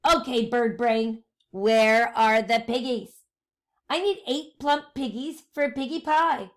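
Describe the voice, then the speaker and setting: A woman's voice: a little high, steady tone, slow pace. A woman voicing a cranky, hungry, scheming storybook witch with a screechy, demanding manner. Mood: demanding, angry.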